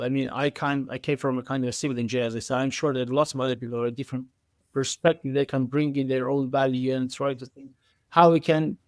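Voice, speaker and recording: A man with a medium-pitched voice gives conversational interview answers as an expert guest. He speaks haltingly, doubling back on words as he explains. The sound is dry, with little room on it. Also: no room sound. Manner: halting, repeats words, frequent hedging filler phrases. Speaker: podcast guest, engineering expert.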